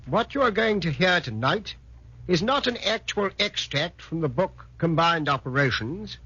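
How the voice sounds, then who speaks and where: A man gives a formal, precise radio introduction in 1940s style. His voice is high, sharp and husky, on a dull old recording. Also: animated, normal speed, dry recording. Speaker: author giving an introduction.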